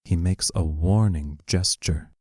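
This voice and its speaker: A man with a low voice narrates stage directions for an audio drama. He is slow, measured and matter-of-fact, in a dry, close recording. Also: a little mellow, no room sound. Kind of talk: scripted narration of audio play.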